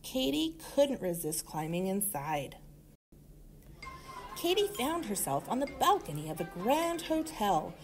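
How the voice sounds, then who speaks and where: Woman storybook reader: expressive, animated, medium pitch, for children's read-aloud. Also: measured pace, soft background music.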